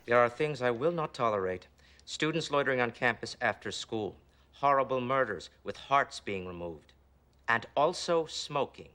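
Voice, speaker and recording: An actor playing a stern, intolerant male high school principal in a TV comedy-drama, with a clipped, deadpan, authoritarian delivery. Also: normal speed. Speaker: actor in a TV series.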